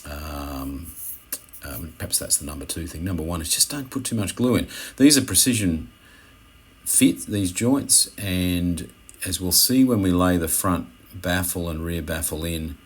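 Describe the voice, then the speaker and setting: Very deep-voiced man explaining hands-on work. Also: expressive, breathy edge. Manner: hesitant, halting, self-correcting. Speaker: instructor. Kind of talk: unscripted how-to voice-over commentary.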